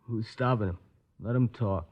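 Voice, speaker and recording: A man's voice: lowish voice, moderate pace, husky. An actor playing a bitter, defiant teenage boy who snaps sarcastically in an old-time radio drama. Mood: sullen, defiant. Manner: sarcastic, snapping. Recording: tinny, band-limited old radio recording.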